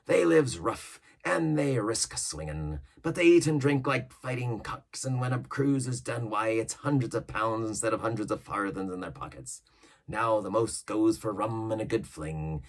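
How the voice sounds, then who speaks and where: A man's voice: lowish voice, very animated, normal speed, pitched above the performer's own voice. A man voicing a scheming older pirate cook who talks in a coaxing, boastful seaman's way. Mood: wheedling.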